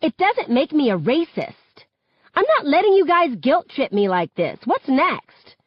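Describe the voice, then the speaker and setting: A woman's voice: mid-range voice, big pitch swings, moderate pace, no echo, highs cut off, voice pushed up. A woman voicing a defiant, callous teenage mean girl in an audiobook, with an indignant, dismissive delivery.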